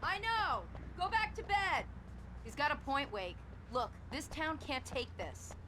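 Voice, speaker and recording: A woman playing a brisk, capable small-town sheriff in a video game, with an American accent and a matter-of-fact, commanding manner. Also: high-pitched, sing-song, pushed and bright, a little hiss or hum, exaggerated voice. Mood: placating. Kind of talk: video game dramatic acting. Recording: game music behind the voice.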